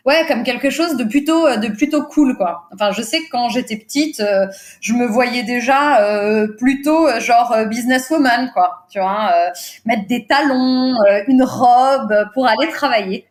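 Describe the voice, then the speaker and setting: A woman in her thirties, a native Portuguese speaker, talking as a podcast guest in a relaxed, hesitant way, with many fillers and easy laughter. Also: a little high, measured pace. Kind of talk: casual interview chat.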